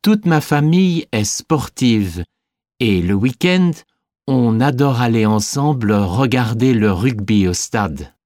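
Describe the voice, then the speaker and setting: Slow-spoken young French man voiced by an actor. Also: mid-range voice, lively intonation, darkish tone, no echo, clean studio sound. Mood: friendly, measured. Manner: slow, clearly enunciated. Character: young French man living in New Zealand, sporty. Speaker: interviewee in a language listening exam. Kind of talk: scripted exam interview answers.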